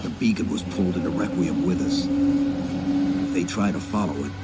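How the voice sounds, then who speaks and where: A man's voice: deep voice, wildly swooping pitch, moderate pace, heavy background noise. A man playing a stoic supersoldier in a video game, terse, resolute and commanding. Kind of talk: video game cutscene acting. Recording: loud music and effects behind.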